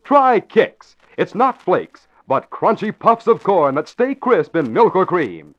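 A man's voice: high-pitched, big pitch swings, takes it slowly. A man announcing and narrating from a script in an emphatic, polished 1940s radio style. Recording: dull lo-fi old radio recording.

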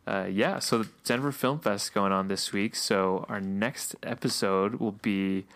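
A man co-hosting a podcast in casual chat, relaxed and chatty, laughing often, saying he is losing his voice. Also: fairly low pitch, a little slow. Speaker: podcast co-host.

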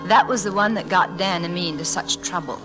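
A woman with an American accent playing a warm, romantic young schoolteacher in a classic radio drama. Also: fairly low pitch, fairly quick, close to an everyday voice, low-quality recording. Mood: wistful reminiscing. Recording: old radio drama recording, music behind.

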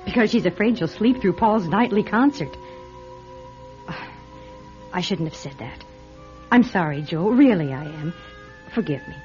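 A woman playing a seemingly caring, sly and sharp-tongued friend in a classic radio drama, brisk and coaxing. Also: medium pitch, wildly swooping pitch. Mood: sharp, apologetic. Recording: muffled vintage radio, music behind.

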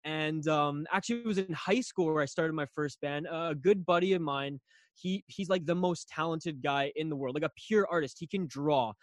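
A young man from Canada, a guest on a podcast, telling a long story casually with lots of fillers and restarts, his voice a little raspy. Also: a little high, moderate pace, no room sound, clean studio sound. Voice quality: slightly raspy.

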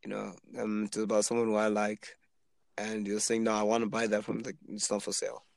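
A man's voice: on the low side, fairly quick, vocal fry, no room sound. A man of twenty from Zimbabwe, chatting casually and rambling with lots of fillers about being an aspiring rapper. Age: 20s. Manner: relaxed, rambling with many fillers. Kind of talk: casual chat.

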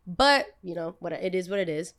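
A woman's voice: on the low side, expressive, moderate pace, a bit bright. A young woman with a New Jersey accent, chatting casually as a podcast host in a blunt, emphatic, slangy way. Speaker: podcast host, content creator.

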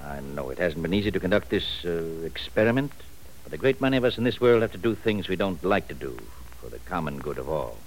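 A man playing a manipulative professor in an old radio drama, smooth and patronizing. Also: sits low, big pitch swings, a little fast, rough recording. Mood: cool. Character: scheming older professor, cool and manipulative. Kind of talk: 1940s radio acting. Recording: faint hiss of an old radio recording.

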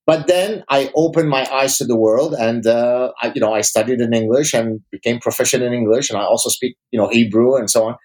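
A man's voice: medium pitch, steady tone, fairly quick, hardly any pauses, darkish tone, low-quality recording. A man from Lebanon whose first language is Arabic, giving emphatic, articulate opinions in formal vocabulary as an academic interview guest.

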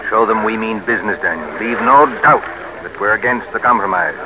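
Hard-driving political backer, classic radio drama, male actor: urgent, commanding, fairly low, noisy vintage sound. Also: brisk, lightly acted. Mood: urgent, confident. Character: brisk, forceful male political ally, quick to scorn. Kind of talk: old-time radio drama acting. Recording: noisy old radio broadcast.

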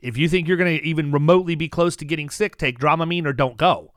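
Man, podcast host, fairly high voice, dry close sound, animated, salesy, lots of restarts. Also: quick pace, clean tone, dry recording. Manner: animated, restarts often, salesy pitches to listeners. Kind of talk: casual podcast chat.

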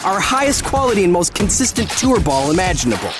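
Animated male ad read, punchy and casual, over a music bed. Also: high-pitched, fast talker. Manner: lively, casual punchy delivery. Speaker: ad announcer. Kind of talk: scripted ad read.

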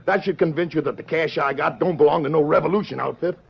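An actor playing a shifty, cornered cattle rustler with a gravelly, husky voice and hesitant folksy talk, in old-time radio western style. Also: male voice, a little high, fast. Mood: grim confessing. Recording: muffled vintage radio drama recording.

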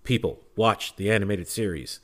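A man's voice: fairly low pitch, normal speed. A man in a casual podcast fan discussion, speaking fervently and emphatically as he urges his points on listeners. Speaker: podcast panelist.

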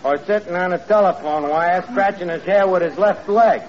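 An actor playing a self-important, ungrammatical New York tavern manager in a 1940s radio comedy. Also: male voice, high-pitched, moderate pace, close to an everyday voice. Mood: sarcastic. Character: gruff, wisecracking, uneducated tavern manager fancying himself a composer. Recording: muffled old radio broadcast, noisy, studio audience.

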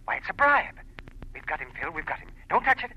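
Casting: excitable male official, animated pitch swings, telephone-filtered vintage radio sound. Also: fairly quick, dull audio, a little hiss or hum, full character voice. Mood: excited. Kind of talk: classic radio drama acting. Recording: thin telephone voice, old radio recording.

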